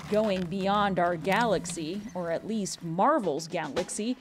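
A woman's voice: lowish voice, measured pace. A woman with an American accent reading scripted narration as a news correspondent. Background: background music.

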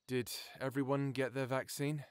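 An actor playing a steady, protective man who leads a survivor camp, speaking calmly and reassuringly. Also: normal speed, clear, resonant tone, dry recording, lightly acted. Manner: calm, low and reassuring. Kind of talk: full-cast audiobook acting.

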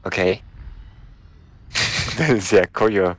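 Guy streaming a game, lowish voice, takes his time, chill and giggly. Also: drawn-out words, dry recording. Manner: relaxed and laughing, switches to English for a friend. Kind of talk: casual gaming chat.

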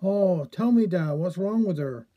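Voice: mid-range voice, lively intonation, measured pace, dull audio. A reader voicing a lovesick young man who speaks in an earnest, pleading way. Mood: despairing.

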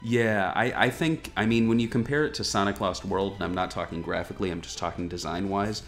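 A man's voice: low voice, fairly quick, fairly bright tone. A man hosting a gaming news show, giving casual, wryly joking commentary on a video game trailer. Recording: music behind the voice. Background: background music.

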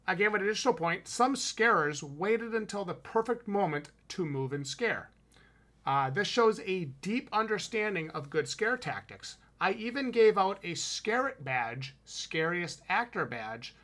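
A man's voice: sits high, lively intonation, measured pace, sharp tone. A man in his thirties with a Wisconsin accent, co-hosting a haunted house review podcast in an enthusiastic, emphatic, slangy way from a home room over a headset mic. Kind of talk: casual review chat. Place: home room with shelves, indoors. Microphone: headset with boom mic on video call.

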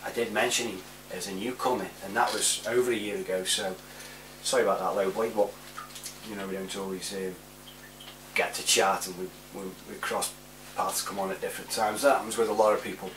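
A man's voice: on the low side, fairly even intonation, fairly quick, roomy sound. British guy rambling casually at his webcam, bit far from the mic, faint hum. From United Kingdom. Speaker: vlogger. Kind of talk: casual chat to camera, giving shout-outs. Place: small room indoors at home.

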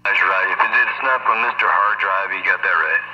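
Man, low-pitched voice, CB radio operator, scornful banter, stock catchphrases, dull lo-fi transmission. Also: normal speed. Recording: dull, noisy CB radio audio.

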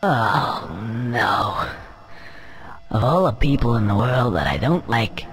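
A man voicing a surly living pitchfork in a cartoon video game, grumbling wearily. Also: sing-song, slow pace, fairly bright tone, slight background noise, low-quality recording. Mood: weary disgust.

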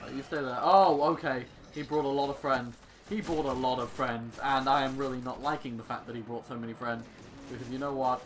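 Rambling young man over clear game noise. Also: mid-range voice, steady tone, fairly quick. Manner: rambling, exasperated joking complaints with mild swearing. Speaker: gaming video host. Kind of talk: casual gameplay commentary. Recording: game sound clearly behind voice. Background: video game action sounds.

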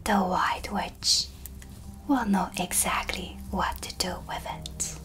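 A young woman playing an eerie, commanding dark witch with quiet menace in a soft-spoken ASMR roleplay, deliberate and whispery, close to the microphone. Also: lowish voice. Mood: calm, mysterious.

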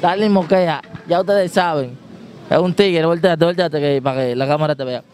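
A man's voice: fairly high pitch, normal speed, darkish tone, a little hiss or hum. A young man hosting a joking street interview on a busy city street, holding a handheld mic and teasing people for answers. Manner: brash, teasing, pushes for answers. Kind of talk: joking street vox pop.

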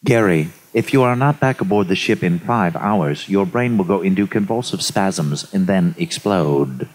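A man's voice: sits low, expressive, moderate pace, darkish tone. A man playing a fussy, precise ship's artificial intelligence in a live comedy cartoon read. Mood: matter-of-fact. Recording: live stage mic with background noise.

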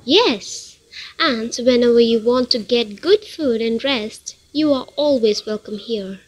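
A woman's voice: a little high, slow. A young woman playing a kind, compassionate daughter in an amateur radio drama, with an earnest, polite manner. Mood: gently hospitable. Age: young adult.

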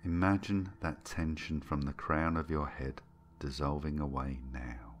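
A man with a very low voice leads a guided meditation. He speaks soothingly and gently, taking his time and leaving space between phrases. Music plays underneath his voice. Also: steady tone. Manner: soothing, gentle, unhurried with long pauses. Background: background music.

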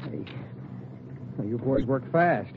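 A sneering cocky suspect. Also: male voice, mid-range voice, lively intonation, takes it slowly, noisy background. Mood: defensive. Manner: sarcastic, defensive. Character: sneering male suspect, thirty-one, cocky clothing salesman. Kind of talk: 1940s radio acting. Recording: muffled old radio broadcast.

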